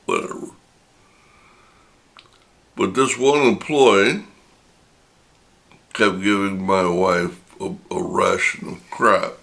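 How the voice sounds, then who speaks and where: An older man hosting his own channel, telling a personal story in a reflective, unhurried way, his voice a little raspy from talking. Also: low voice, fairly bright tone. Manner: reflective and unhurried, many pauses. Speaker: channel host.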